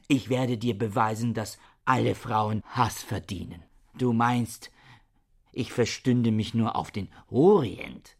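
Cold obsessive scholar, radio drama, breathy rough voice, deliberate pace, pedantic declamation. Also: male voice, on the low side. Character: bitter misogynist reclusive scholar, cold and obsessive. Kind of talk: radio drama acting, literary dialogue.

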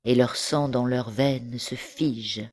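A woman reciting literary poetry in measured, deliberate phrases broken by pauses. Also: rumbling low, fairly even intonation, darkish tone. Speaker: poetry reader.

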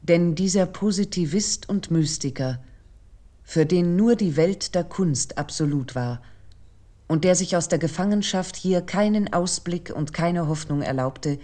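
A woman narrating a scripted literary essay for radio in a measured, reflective and formal manner. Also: on the low side, warm tone.

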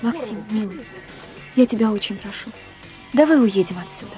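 A woman's voice: mid-range voice, animated, normal speed, warm tone, faint music behind, close to an everyday voice. An actress playing an anxious, devoted woman who is an uneasy guest, in a radio play. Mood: pleading.

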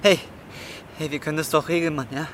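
A man's voice: a little high, steady tone, a little fast, a bit bright, a little hiss or hum, natural voice. An actor playing a cornered young man in his twenties in a radio drama, agitated, pleading and swearing. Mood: pleading, scared. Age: 20s. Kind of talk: German radio drama acting.

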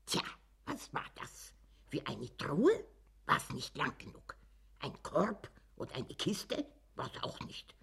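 Low husky voice, creaky, nosy old village gossip, self-important, suspenseful radio drama storytelling. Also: female voice, sing-song, slow pace, a bit bright, heavily acted. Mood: mysterious, rambling. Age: older. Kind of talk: German radio drama acting.

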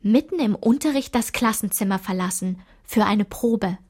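A woman's voice: mid-range voice, animated, normal speed, a little mellow, close to an everyday voice. A woman narrating a literary radio play, reading aloud in a composed way.

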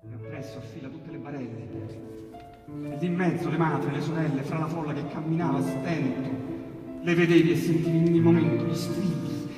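A man with a Roman accent giving a theatrical, declamatory recital of narrative poetry, with dramatic pauses and swells. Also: a little high, steady tone. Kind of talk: theatrical dramatic storytelling of verse with piano. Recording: very echoey hall, distant mic. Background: piano accompaniment.

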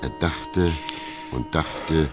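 A man's voice: very deep voice, very slow, heavily acted. A man reading scripted literary narration as the narrator of a radio play. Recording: music behind the voice.

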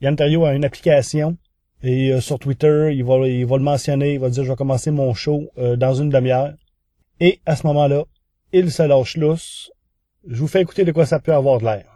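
A man's voice: mid-range voice, fairly even intonation, brisk, warm tone, no room sound, studio quality. A man with a Quebec French accent presenting a semi-prepared review column as a podcast columnist.

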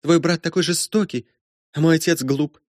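A man's voice: a little high, fairly even intonation, moderate pace, dry recording, studio quality, pitched above the performer's own voice, lightly acted. A man voicing a young ghost girl, desperate, shivering and reproachful, in a plaintive, insistent manner for an audiobook. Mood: pleading, resentful.

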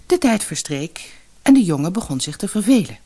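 A woman reading a children's fairy tale as an expressive audiobook narrator who acts out the dialogue. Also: fairly low pitch, fairly quick, breathy edge.

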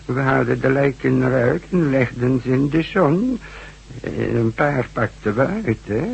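An actor playing a friendly hundred-year-old village man who rambles and hesitates in a shaky, husky, creaky voice, in a radio drama. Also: medium pitch, darkish tone, dull audio, full character voice. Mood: awed, halting. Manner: halting, hesitant, rambling storytelling.

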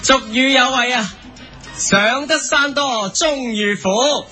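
Over a music bed, a mischievous game show host with a very high, piercingly bright voice. He speaks Hong Kong Cantonese in a theatrical, teasing announcer style built for parody radio comedy. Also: slow pace, heavily acted. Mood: teasing, explaining. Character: upbeat, mischievous male TV quiz show host.

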